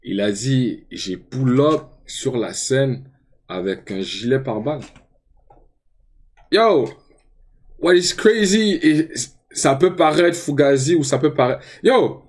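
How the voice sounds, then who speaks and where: A young man with a Quebec French accent, commenting casually on a live stream and switching into English slang with animated outbursts. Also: mid-range voice, takes it slowly. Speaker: streamer reacting to rap videos.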